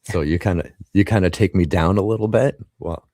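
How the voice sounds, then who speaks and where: Very deep-voiced laid-back man. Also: darkish tone, dry recording, studio quality. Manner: laid-back, agreeable, quick to laugh. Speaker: podcast host. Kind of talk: casual interview chat.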